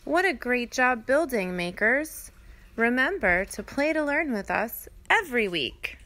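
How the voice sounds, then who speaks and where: Slow-talking, high-pitched woman teaching young children. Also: sing-song, pushed and bright. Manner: instructive, asking questions and prompting children. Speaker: host of children's read-aloud.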